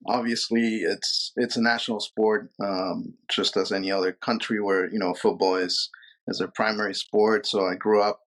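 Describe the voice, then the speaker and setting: Low voiced guy from peru talking law stuff in an interview, lots of um and repeats. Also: little pitch movement, normal speed, a bit bright, rough recording. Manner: thoughtful, many fillers and repeated words. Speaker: sports lawyer, guest. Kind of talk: casual interview answers.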